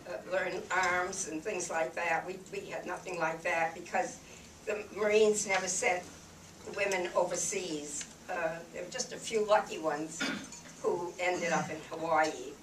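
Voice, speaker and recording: A woman in her eighties from New Hampshire, a veteran telling her wartime memories to an audience, slightly croaky from a cold, wry and unhurried. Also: fairly low pitch, fairly even intonation, a little hiss or hum. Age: 80s. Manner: slow, wry reminiscing with soft laughs and fillers. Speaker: veteran guest speaker. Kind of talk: personal war memories told to an audience. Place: room with an audience. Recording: echoey room from far off with clattering dishes.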